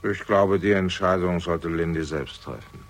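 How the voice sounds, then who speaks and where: An actor playing a cold, self-righteous, composed killer with a curt, unyielding manner in a 1960s German radio crime drama. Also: male voice, sits low, measured pace.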